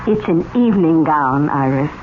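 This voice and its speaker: An actress playing a gentle, trusting, indulgent older aunt in a 1970s radio drama. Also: female voice, deep voice, animated, normal speed. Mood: hopeful. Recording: very noisy, dull old radio broadcast.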